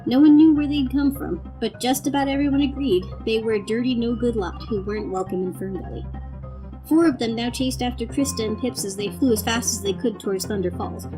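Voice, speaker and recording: A woman's voice: fairly high pitch, low-quality recording. A woman reading as a storybook narrator in a dramatic, scripted style.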